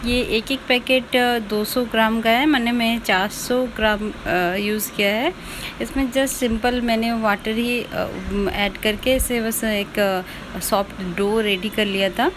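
A woman in her thirties from India, casually narrating her cooking in a home kitchen, pausing often with fillers as she explains each step. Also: a little high, fairly even intonation, background noise. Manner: chatty, explains steps with many pauses and fillers. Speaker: vlogger, home cook.

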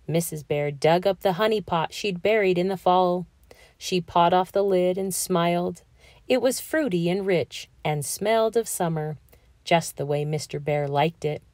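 A woman reading a children's picture book aloud in an expressive, deliberate storytelling manner. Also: fairly low pitch, no echo, studio quality. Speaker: storybook reader.

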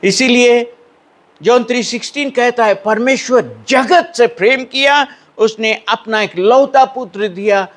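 An older man from Fiji with a Fiji Hindi accent, preaching at a pulpit into a handheld mic in a small church hall. He keeps asking his hearers for agreement and reads English lines out slowly. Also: extremely high pitch, fairly bright tone. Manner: lifts an arm to gesture while preaching. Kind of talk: sermon.

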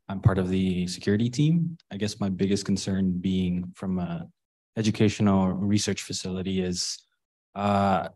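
A man's voice: low voice, darkish tone. A man introducing himself and explaining his view informally at a roundtable. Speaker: roundtable participant.

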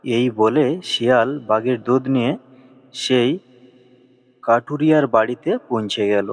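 Guy narrating a cartoon fable, medium pitch, big reverb on the voice. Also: unhurried. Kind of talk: scripted storybook narration. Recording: long echoing reverb.

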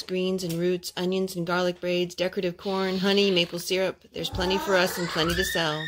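Woman reading a picture book, noisy home recording. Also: lowish voice. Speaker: storytime host reading to her children. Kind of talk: children's picture book read-aloud. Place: carpeted room at home. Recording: clear background noise and clicks, homemade.

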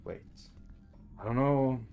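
A man's voice: mid-range voice, slow pace, creaky, narrow, tinny audio, music in the background. A young man giving casual, informal let's play commentary, thinking aloud and swearing as he plays. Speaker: gaming video host. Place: small room indoors at home.

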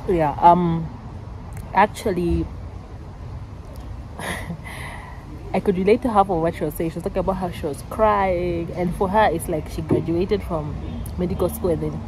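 A woman's voice: on the low side, steady tone, normal speed, pauses often, noisy background. A woman in her twenties chatting casually in a vlog, rambling with many fillers and restarts. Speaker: vlogger. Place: out and about, then a café.

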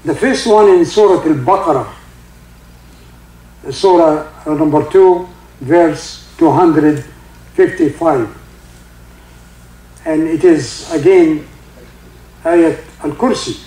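An older man with a heavy Egyptian accent, a native Arabic speaker, giving an informal religious talk in halting English with many fillers and recited Arabic verses. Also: on the high side, mellow, reverberant, poor audio. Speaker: religious teacher. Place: indoor room with carpeted seating.

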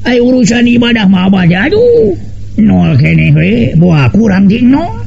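High-pitched old village tough played by a male storyteller, humbled, gentle, repentant, dull lo-fi recording. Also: very animated, a little slow, vocal fry, husky, heavily acted. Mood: remorseful.

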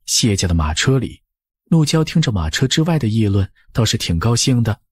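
A man reads scripted audiobook narration in a husky voice of medium pitch. His intonation moves widely, and the sound is dry and close. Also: very animated, a little fast, no room sound.

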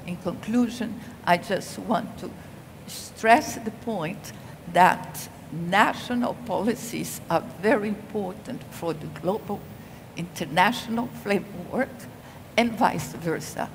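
An older woman speaks at an unhurried pace on a conference stage, leaning on her main points. Her headset mic catches the long reverb of a large hall, with a faint hum of ambience underneath. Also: on the low side. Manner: measured, deliberate, emphatic on key points. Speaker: panel speaker. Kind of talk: conference panel talk.

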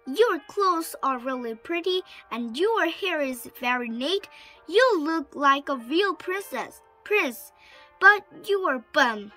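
A bold young storybook princess, acted out by a woman, eager and clever. The voice is very high and bright, swinging widely as it calls out. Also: animated, slow pace, faint music behind, exaggerated voice. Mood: scornful.

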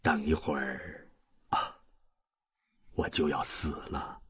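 A man voicing a dying old man in an audiobook, weak, breathy and halting, tender and resigned. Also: very deep voice, little pitch movement, fairly bright tone, pitched below the performer's own voice, exaggerated voice. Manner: slow, halting. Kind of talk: dramatized audiobook reading.

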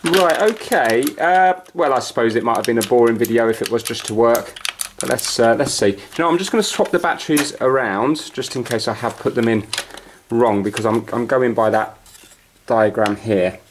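A man talks casually through a hands-on job, thinking aloud as he goes in a chatty, unscripted way. He is recorded on a camera at home, with clear noise and clicks around his voice. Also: mid-range voice, normal speed. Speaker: host of amateur repair videos. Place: carpeted room at home.

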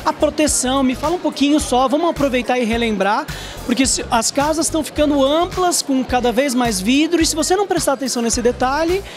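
A man's voice: very high voice, a little fast. A Brazilian man in his thirties hosting a TV interview on a handheld microphone, talking in an informal, emphatic, conversational way. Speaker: TV show host. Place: trade fair stand indoors. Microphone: handheld reporter microphone. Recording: music behind the voice. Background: prominent music.

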